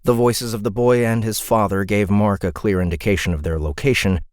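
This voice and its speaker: A man narrating a fantasy audiobook in an expressive, dramatic storytelling style. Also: lowish voice, a little fast, no echo, clean studio sound.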